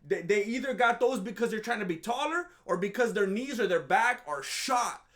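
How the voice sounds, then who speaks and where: A high-pitched man rambles through a casual commentary monologue, full of sarcasm and self-mocking jokes. He restarts his sentences often and sounds a little groggy, as if just up from a nap. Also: lively intonation, normal speed, fairly bright tone. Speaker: MMA commentary vlogger.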